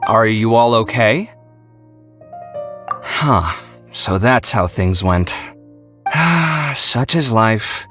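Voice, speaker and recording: An actor playing a sarcastic, easily exasperated young housemate in a game cutscene. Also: male voice, medium pitch, fairly bright tone.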